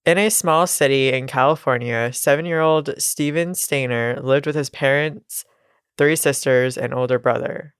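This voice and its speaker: A woman's voice: rumbling low, normal speed, smooth, no echo, studio quality. A nasal, congested woman telling a true crime story on a casual podcast, with laughs and asides. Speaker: podcast co-host.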